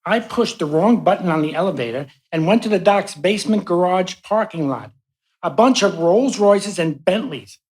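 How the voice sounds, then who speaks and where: A woman's voice: fairly low pitch, animated, normal speed, a little mellow, close to an everyday voice, low-quality recording. A woman playing an affable, wry male patient in a live radio play.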